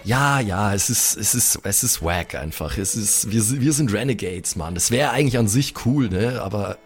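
A man's voice: fairly low pitch, lively intonation, moderate pace, faint music behind. A man playing a weary, irritable young slacker musician in an improvised roleplay audio drama, drawling street slang mixed with English. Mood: weary, fed up.

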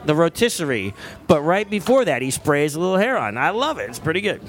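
A man's voice: a little high, big pitch swings, a little fast, a bit bright. A man in his forties co-hosting a music podcast, joking and rambling in self-mocking casual chat. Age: 40s. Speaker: co-host and guitarist.

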